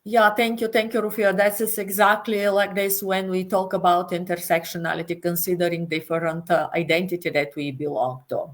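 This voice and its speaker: A woman's voice: fairly low pitch, little pitch movement, a little fast, few pauses. A woman moderating a virtual panel, thanking speakers and asking prepared, formal questions.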